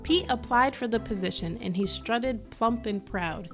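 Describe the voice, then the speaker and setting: A woman's voice: fairly high pitch, unhurried, music in the background. A woman reading a children's picture book aloud, engaging the listener with questions and asides. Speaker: children's storybook reader. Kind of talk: read-aloud storytelling with asides to young listeners.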